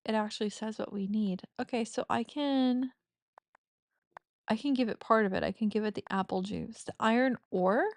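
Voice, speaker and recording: A woman's voice: a little high, moderate pace, no room sound. A woman chatting casually while playing a cozy farming game, giggly and self-mocking, with a slightly stuffy nose. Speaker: gaming streamer. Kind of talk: casual let's-play commentary.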